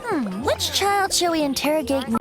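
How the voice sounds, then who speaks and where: A woman's voice: sits high, animated, normal speed. A woman voicing a playful, whimsical young male bard in a video game, breezy and teasing. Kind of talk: video game character acting. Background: background music.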